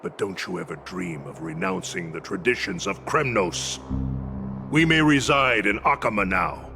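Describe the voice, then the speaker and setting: An actor playing a stern old warrior retainer in a video game, proud and scornful, with a gravelly voice and grave, formal delivery. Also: male voice, low-pitched, lively intonation, music in the background. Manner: grave, formal, deliberate oratory. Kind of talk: dramatic video game acting.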